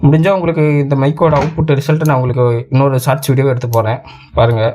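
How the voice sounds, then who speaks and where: A man's voice: medium pitch, very fast, a little mellow, a little hiss or hum. A man giving a casual, colloquial product review, explaining things repetitively to viewers as a tech channel host.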